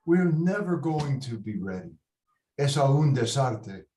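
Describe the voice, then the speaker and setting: A man's voice: mid-range voice, very animated, unhurried, dark tone. A man playing a bossy, hot-tempered but doting Mexican patriarch at a table read, exasperated, swearing and slipping into Spanish. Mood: furious, exasperated. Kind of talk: table read of a comic Shakespearean-style play. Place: indoor room, on a video call. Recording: roomy echoing video-call audio.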